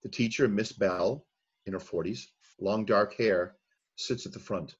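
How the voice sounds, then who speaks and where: Low husky man reading stage directions. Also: a little slow, muffled recording. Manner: plain, steady reading of scene headings and action. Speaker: narrator of a screenplay reading.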